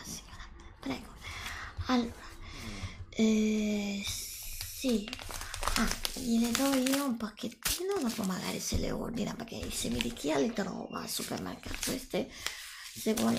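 A middle-aged woman whispering softly in an ASMR roleplay as a nutritionist, giving instructions in a drawn-out way. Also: medium pitch, vocal fry. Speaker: ASMR creator role-playing a nutritionist.

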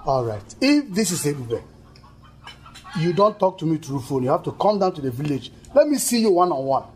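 A man's voice: fairly high pitch, very animated, normal speed, darkish tone. An actor playing a disbelieving village father in a film drama, emphatic and repeating himself.

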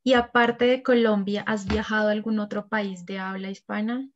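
A woman's voice: mid-range voice, steady tone, normal speed, a little hiss or hum. Young woman teacher interviewing on a call. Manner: clear, encouraging, teacherly questioning. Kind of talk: friendly interview questions. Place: room at home. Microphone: laptop webcam on a video call. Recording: dull lo-fi video call audio.

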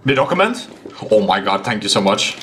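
A young man streaming a game from home, reacting casually in an amused, excitable way. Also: fairly low pitch, brisk, slight background noise. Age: young adult. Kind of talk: casual live reaction commentary. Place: small room at home.

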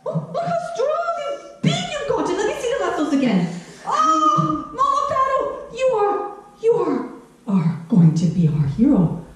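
A middle-aged woman playing a kindly, excitable Japanese grandmother, telling a children's story theatrically and addressing the audience. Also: high-pitched. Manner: exclamatory, animated, addressing the audience directly. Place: living room at home. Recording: very echoey room, faint music.

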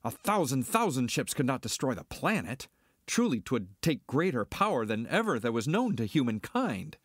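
An actor playing a cocky, skeptical smuggler pilot, declaiming theatrically in a Shakespearean dramatic reading. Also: male voice, medium pitch, sing-song, normal speed, slightly rough, dry recording, clean studio sound. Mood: alarmed, bewildered.

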